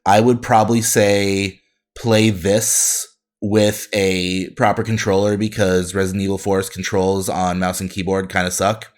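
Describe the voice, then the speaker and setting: A man with a very low voice, chatting about video games on a podcast. He explains things plainly and teases his co-hosts with dry remarks. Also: flat delivery, measured pace. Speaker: podcast guest, game enthusiast. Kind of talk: casual gaming podcast chat.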